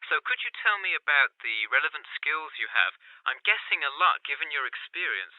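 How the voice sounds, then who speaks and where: A man's voice: on the high side, big pitch swings, brisk, no room sound. A man playing a polite, businesslike employer in a scripted phone interview, heard through a telephone filter. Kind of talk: scripted listening-test dialogue. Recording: thin, narrow telephone line.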